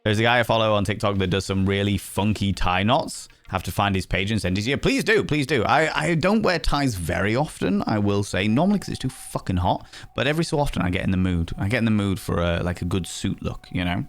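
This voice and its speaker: A man's voice: on the low side, lively intonation, fairly quick, few pauses, no echo. A man with a Yorkshire accent, chatting casually with his live-stream audience, cheeky and teasing, swearing freely. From Yorkshire, England.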